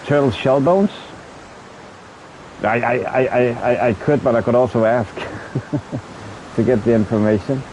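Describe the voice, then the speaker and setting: A man's voice: medium pitch, unhurried, pauses often, darkish tone, slight background noise, low-quality recording. A man with a Danish accent, a native Danish speaker, chatting casually with viewers on a game livestream, stumbling and repeating words as he hunts for the right English term and swearing in frustration. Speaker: streamer.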